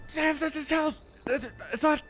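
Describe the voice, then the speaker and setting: A man's voice: very high-pitched, steady tone, moderate pace, fairly bright tone, soft background music. A man playing a flustered, bumbling male thief who stammers and rambles in a panic. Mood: panicked.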